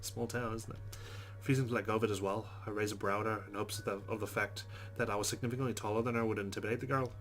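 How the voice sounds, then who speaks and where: Man, rapid delivery, lowish pitch, informal let's play narration, chatty asides. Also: steady tone, a bit bright. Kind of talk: gameplay commentary and reading visual novel text aloud.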